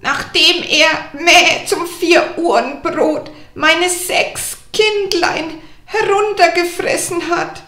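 A woman's voice: very high voice, slow pace, pushed and bright, roomy sound, pitched above the performer's own voice, heavily acted. A woman voicing an old storybook mother goat, anxious yet resolute, who bleats between phrases. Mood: indignant.

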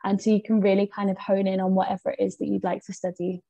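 Young british woman running a webinar on a video call, mellow voice, dry sound, lots of ums. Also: medium pitch, little pitch movement, fast, creaky, no room sound. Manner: friendly, upbeat presenter with frequent "um" and "kind of". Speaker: webinar host and education advisor. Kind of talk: presenting a webinar.

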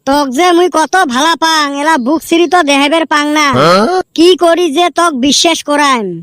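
A woman voicing a boastful, wheedling village boy in a comic cartoon, with a northern Bengal Rangpuri accent and a pitch-shifted voice. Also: fairly even intonation, normal speed, fairly bright tone, no echo, studio quality. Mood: lovesick pleading. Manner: pleading, whiny, bickering.

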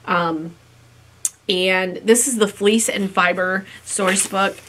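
Woman, 50s, raspy from a cold, bright voice, chatty, many ums, casual vlog to camera, small room at home. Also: mid-range voice, fairly even intonation, slow pace. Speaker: fiber-craft vlogger. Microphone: camera in front at desk distance.

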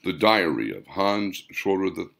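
A man reading a story aloud as dramatic, theatrical audiobook narration, with deliberate delivery. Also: sits low, big pitch swings, creaky, a bit bright.

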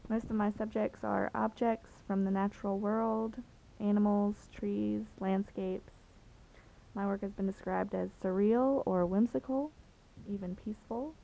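A woman's voice: mid-range voice, steady tone, unhurried, gravelly, warm tone. A woman in her thirties, a painter, introducing herself and her work to camera in a friendly way from her exhibit booth. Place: indoor art exhibit booth. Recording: thin phone-like audio.

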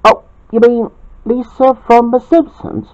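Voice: mid-range voice, fairly even intonation, unhurried, dull audio, voice pushed up, close to an everyday voice. An amateur storyteller playing a needy male agent who talks in a childlike, earnest way. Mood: sad, pleading. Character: sad, needy male agent wanting a playmate.

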